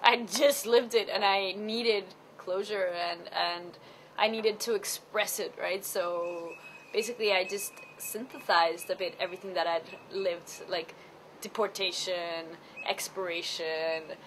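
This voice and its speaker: A woman from Chile, a theatre artist, talking in an earnest, thoughtful interview with many pauses. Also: medium pitch, fairly even intonation, a little hiss or hum, rough recording. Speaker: theatre artist interviewed about her play. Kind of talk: reflective personal interview.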